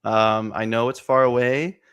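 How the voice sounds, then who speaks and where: A man in his twenties, excitable and energetic, chatting casually about card breaks with lots of fillers. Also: medium pitch, a little slow, clear, resonant tone. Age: 20s. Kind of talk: casual chat on a stream.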